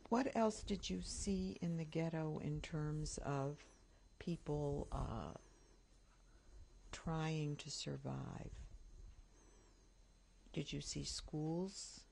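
A woman interviewer with a low, gravelly voice. She speaks slowly and asks brief, direct questions. She is heard off camera. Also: darkish tone, slight background noise.

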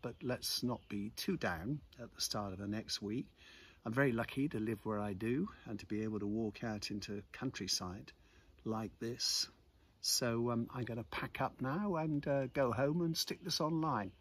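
A man's voice: mid-range voice, moderate pace, breathy edge. A British man in his sixties chatting casually and reflectively to camera, a little hesitant, recorded outdoors on a phone. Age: 60s. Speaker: vlogger. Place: outdoors in a village churchyard. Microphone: phone on selfie-stick tripod, about arm's length.

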